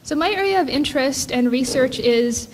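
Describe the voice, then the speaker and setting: A woman from Hawaii giving a conference keynote, explaining her slides in a measured way and putting questions to the audience. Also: sits high, lively intonation. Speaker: keynote speaker, academic. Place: large lecture hall. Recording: long reverb of a lecture hall.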